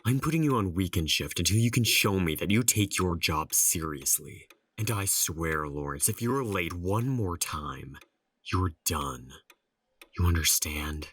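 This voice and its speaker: A man's voice: sits low, lively intonation, unhurried, a bit bright, breathy edge, heavily acted. A man playing a stern, condescending office boss in an audio drama, speaking in a scolding, lecturing manner. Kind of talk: audio horror drama acting.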